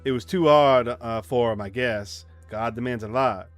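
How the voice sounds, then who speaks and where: Haunted rural boy telling his story for a visual novel read by a man, average pitch, unadorned halting read, music underneath. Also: lightly acted. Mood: somber, reflective. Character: haunted country boy Runt recounting his childhood. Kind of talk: reading visual novel narration aloud.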